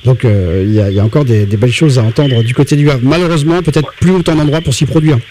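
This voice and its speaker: A man's voice: mid-range voice, dark tone, a little hiss or hum. A man hosting a casual radio interview, often hunting for words with fillers and restarts. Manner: quick, searching for words with many fillers. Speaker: radio host.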